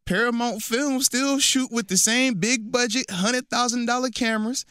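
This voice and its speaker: A man's voice: very high voice, steady tone, normal speed, pushed and bright, clean tone, no echo, clean studio sound. A man in his thirties, a former football player co-hosting a casual sports radio show, bantering playfully and repeating phrases for emphasis.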